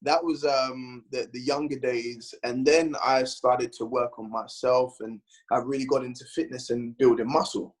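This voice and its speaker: A man from London with a London accent, a guest bodybuilder chatting on a podcast, rambling and animated as he tells his story. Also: mid-range voice, normal speed, few pauses, rough recording. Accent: London English. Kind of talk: casual podcast chat.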